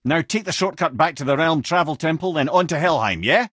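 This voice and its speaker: An actor playing a witty, learned old companion in a video game, with a Scottish accent, a husky voice and a wry, eloquent, theatrical way of talking. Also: male voice, a little high, lively intonation, brisk, a bit bright, no room sound, studio quality. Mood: wry, animated. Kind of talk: video game character dialogue, lore storytelling.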